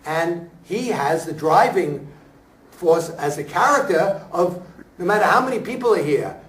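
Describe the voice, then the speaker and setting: High-voiced man speaking slowly, echoey room. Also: expressive. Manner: halting, deliberate phrasing with emphatic pauses. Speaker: stage actor speaking at a talkback. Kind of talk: reflective post-show discussion. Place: room at a staged reading, before an audience. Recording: echoey room, picked up from far off.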